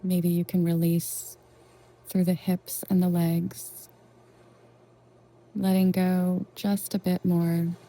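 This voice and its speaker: A woman leading a guided meditation in a gentle, reassuring, unhurried way with long pauses. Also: on the low side, level tone. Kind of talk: soothing guided meditation narration.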